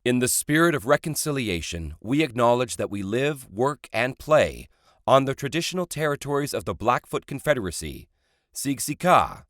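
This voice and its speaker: A man's voice: mid-range voice, a bit bright, no echo. A man reading a scripted podcast announcement as an announcer. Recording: dry studio voice-over. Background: music.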